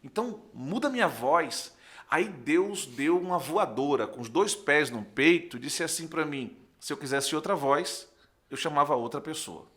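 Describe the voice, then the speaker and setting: A young man from Recife speaks with a northeastern Brazilian accent and a sharp, somewhat raised voice. He is a pastor telling his story in a conversational way, playing out the dialogue as he goes. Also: a little high, a little slow. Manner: animated storyteller, acts out dialogue, often checks with "né". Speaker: pastor, podcast guest. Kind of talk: conversational personal testimony.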